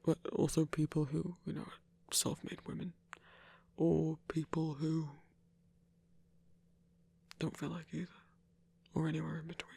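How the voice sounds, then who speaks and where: A man's voice: on the high side, completely flat delivery, measured pace, gravelly, pauses often, dark tone, slightly rough, exaggerated voice. A man playing a shy, earnest young hunter in an intimate ASMR audio roleplay, stammering and hesitant, with nervous little laughs. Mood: awkward, musing. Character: shy, earnest young male monster hunter, smitten and awkward.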